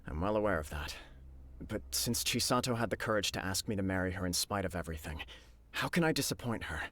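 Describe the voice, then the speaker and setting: A man's voice: deep voice, expressive, fast talker, fairly bright tone. A man playing an earnest, humble, dutiful young military clan leader in a video game, speaking formally and a little stiffly.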